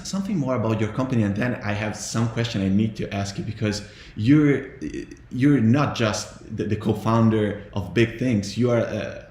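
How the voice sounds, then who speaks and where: A man with an Italian accent and a low-pitched voice asks his questions in a halting, searching way, with many fillers. He sounds like he is in an echoey room, with faint noise behind him. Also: warm tone. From Italy. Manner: hesitant, restarts with many fillers. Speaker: podcast host. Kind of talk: casual interview.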